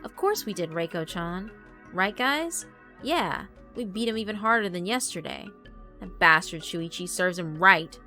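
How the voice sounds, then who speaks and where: A brash, hot-headed schoolboy bully acted by a woman, loud and self-righteous. The visual novel delivery is aggressive and vengeful, with music playing clearly behind. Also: lowish voice, lively intonation, measured pace, full character voice. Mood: indignant. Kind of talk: visual novel character narration.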